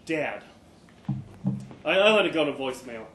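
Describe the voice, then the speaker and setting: A man with a slightly high voice reads fiction aloud at a live event. His delivery is expressive, with dramatic pauses and small stumbles. The room echoes noticeably and there is faint noise behind him. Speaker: story reader. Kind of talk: live dramatic short-story reading, first person.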